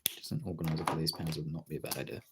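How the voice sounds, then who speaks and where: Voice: a bass voice, racing, very noisy. A streamer chatting casually while drawing, absent-mindedly thinking aloud in half-muttered remarks.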